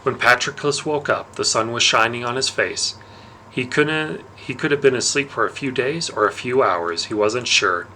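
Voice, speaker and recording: A man narrating a novel aloud in an expressive storytelling style. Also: mid-range voice, moderate pace, slight background noise, poor audio.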